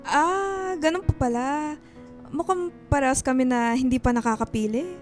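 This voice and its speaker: Woman acting giggly, very high-pitched teenage girl. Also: normal speed, soft background music, close to an everyday voice. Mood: shy, probing. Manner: playful, teasing, exclaiming. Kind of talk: Filipino radio drama acting.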